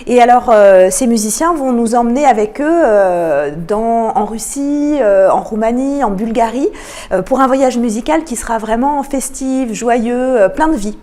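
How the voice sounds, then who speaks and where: A woman giving explanatory answers as a radio interview guest, often pausing between phrases. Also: a little high, dark tone. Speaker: interview guest, cultural programme manager.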